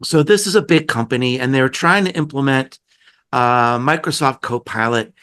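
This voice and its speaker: A man hosting a podcast interview, a little hoarse, talking in a chatty, rambling way with many restarts. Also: medium pitch, moderate pace, fairly bright tone. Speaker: podcast host. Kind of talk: casual conversational interview.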